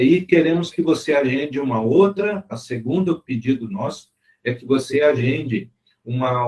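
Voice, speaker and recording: A man's voice: on the high side, darkish tone. A man hosting a video-call interview, courteous and formal, closing with blessings. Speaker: interview host.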